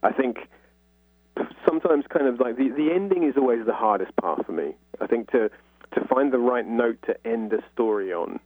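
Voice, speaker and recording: A man's voice: medium pitch, animated, normal speed, slightly rough, no echo. A man from North London with a London accent, answering radio interview questions as a guest novelist in a reflective, explanatory way over a phone-like line. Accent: London English. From North London, England. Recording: phone-like, muffled narrow-band line.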